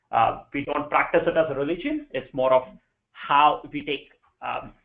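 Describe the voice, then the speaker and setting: A man gives an informal presentation as a guest speaker. He talks at a middle pitch and builds his points through emphatic, repeated phrases. The recording is tinny and narrow, like a phone line. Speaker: guest speaker, DevOps consultant.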